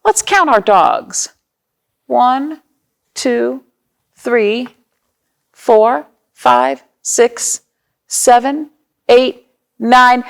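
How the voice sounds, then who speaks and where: A woman's voice: a little high, very slow, creaky, long pauses. A woman hosting a children's storytime, reading aloud, explaining things simply and asking the young listeners questions.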